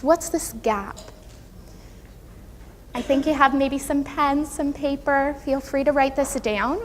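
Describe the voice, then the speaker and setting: A woman with a soft, high-pitched voice presents to a conference audience. She is earnest and gracious, guiding listeners carefully from point to point. The recording has long hall reverb and some faint background noise. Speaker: conference presenter, occupational therapist. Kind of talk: prepared conference talk to an audience. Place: conference room, speaking to an audience.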